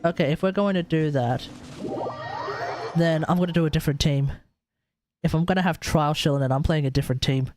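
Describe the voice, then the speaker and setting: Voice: mid-range voice, a little fast, pauses often, darkish tone. A game streamer giving casual, informal and reactive live commentary in a chatty way. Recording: game music behind the voice.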